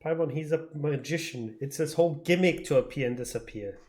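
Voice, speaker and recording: A man's voice: a little high, fairly quick, darkish tone. Sarcastic male game streamer. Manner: sarcastic, muttering asides, swearing. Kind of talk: casual live gameplay commentary. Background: faint game music.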